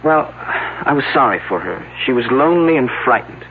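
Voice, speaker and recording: A man's voice: mid-range voice, expressive, normal speed, natural voice. An actor playing an earnest, slightly flustered young man from an archaeological dig, answering questions in a mystery drama. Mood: uneasy, puzzled. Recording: narrow, slightly noisy phone-like old broadcast audio.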